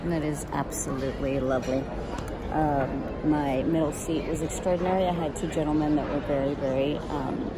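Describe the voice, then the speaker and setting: A woman's voice: low voice, steady tone, moderate pace. A woman in her forties from the United States, chatting in a rambling way with lots of fillers, filmed on a phone at arm's length at an outdoor cafe. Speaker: travel vlogger. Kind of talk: casual travel vlog. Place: outdoor cafe on a city plaza. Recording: loud crowd and street noise. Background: busy street crowd.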